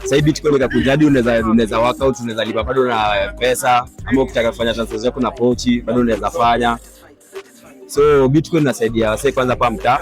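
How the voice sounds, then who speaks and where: A man talks quickly and keenly in a street interview. His voice sits in a middle range. Music plays clearly underneath him. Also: medium pitch. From Kenya. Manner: lively, enthusiastic, mixing Swahili and English slang.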